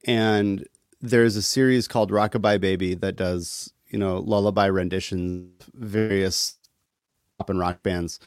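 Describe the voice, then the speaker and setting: A man talking casually as a podcast guest, thoughtful and halting, with a wry streak. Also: low voice, fairly even intonation, no room sound, clean studio sound. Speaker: interview guest, musician.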